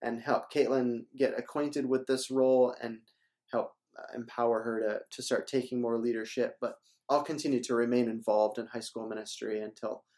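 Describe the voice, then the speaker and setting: A man's voice: mid-range voice, steady tone, moderate pace, darkish tone. Upbeat young man hosting a church interview. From Minnesota. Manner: upbeat, promotional, repeats words. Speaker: church staff host. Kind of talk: casual church announcement interview.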